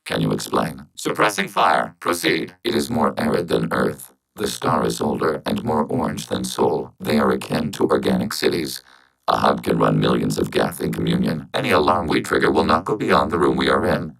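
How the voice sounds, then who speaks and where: A science fiction game robot with an extremely low, gravelly voice. It speaks in formal, measured phrases, calm and emotionless, through synthetic robotic processing. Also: male voice, exaggerated voice, low-quality recording. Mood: flat, alert. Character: calm logical robot of a networked machine collective. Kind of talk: scripted science fiction video game dialogue.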